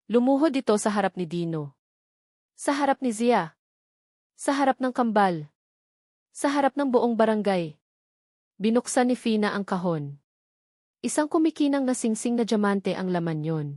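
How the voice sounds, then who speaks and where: A woman with a Filipino accent narrates scripted fiction with a storyteller's drama. Her pitch sits in the middle range for a woman. The recording is dry, with almost no room sound. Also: expressive, normal speed, long pauses, a little mellow, no room sound. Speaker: audiobook narrator.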